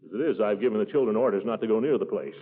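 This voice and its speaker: A man's voice: fairly low pitch, rapid-fire, lightly acted. A man playing a blustering, pompous small-town uncle with an exclamatory manner in a 1940s radio comedy. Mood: indignant. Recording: muffled narrow-band 1940s radio recording.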